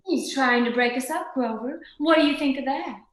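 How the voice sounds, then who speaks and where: An actress playing a provocative, goading femme fatale in a radio detective drama. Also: female voice, sits high, moderate pace, reverberant, lightly acted.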